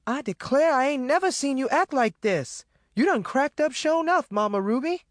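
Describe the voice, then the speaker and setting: A man's voice: extremely high pitch, lively intonation, normal speed, no echo, studio quality, pitched above the performer's own voice. A man voicing a feisty young woman in an audiobook, alarmed, hollering and begging urgently. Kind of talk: audiobook character acting.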